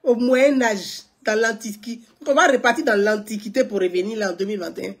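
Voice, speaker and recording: Husky woman, emphatic and repetitive. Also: mid-range voice, steady tone, fairly quick. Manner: emphatic, repeats points, rhetorical questions. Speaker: political commentator. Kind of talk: live video commentary to viewers.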